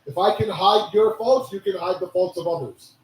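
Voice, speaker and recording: A hoarse man giving a sermon, preaching with animated rhetorical questions. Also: sits high, normal speed, a little hiss or hum. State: hoarse, losing his voice. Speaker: religious speaker. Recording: echoey hall from a distance.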